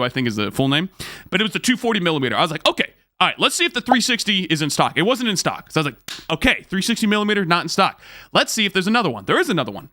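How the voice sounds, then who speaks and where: A man who streams, telling a casual story to viewers in an excitable, slangy way, repeating phrases for emphasis. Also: sits high, no echo, studio quality.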